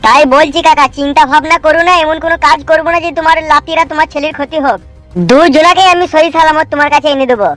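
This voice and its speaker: A woman's voice: high-pitched, fairly even intonation, quick pace, a bit bright. A woman voicing a cocky, emphatic young village man in a cartoon. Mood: reassuring. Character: brash, vengeful young village man, self-styled hero. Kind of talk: cartoon melodrama. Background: music.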